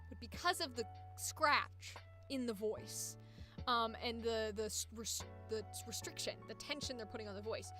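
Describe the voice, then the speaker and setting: A young woman with a high-pitched voice, chatting casually about voice acting on a live stream and now and then trying out squeaky character voices. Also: bright, forceful tone. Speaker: voice actor streaming.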